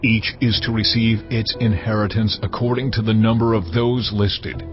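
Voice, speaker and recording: An actor playing God as a solemn lawgiver, measured and commanding, in a dramatised scripture reading. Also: male voice, fairly low pitch, fairly even intonation, natural voice. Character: God, solemn male lawgiver speaking to Moses. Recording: slightly muffled, music underneath. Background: background music.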